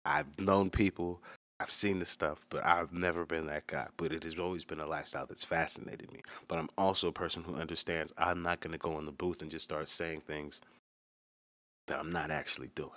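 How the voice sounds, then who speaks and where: Deep-voiced man casually breaking down his song. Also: fairly even intonation, fairly quick, fairly bright tone, no room sound. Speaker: musician and host of a commentary channel. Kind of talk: casual, profane commentary on own song.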